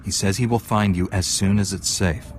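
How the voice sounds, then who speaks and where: Guy doing a calm game hero knight, low voice, direct and steady, faint noise. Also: normal speed, natural voice. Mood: composed. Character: resolute, composed male Jedi knight hero. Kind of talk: video game dialogue.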